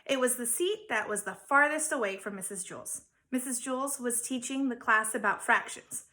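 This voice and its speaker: A woman, a teacher, reading a children's story aloud with dramatic emphasis and theatrical pauses. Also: on the high side, bright, forceful tone. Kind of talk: expressive children's storybook narration.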